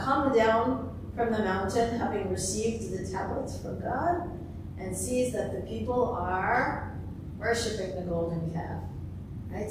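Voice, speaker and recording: A woman rabbi giving a thoughtful sermon, her voice a little raspy and hoarse from talking, with many ums. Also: mid-range voice, background noise. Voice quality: slightly raspy. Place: synagogue sanctuary. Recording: echoey sanctuary from far off.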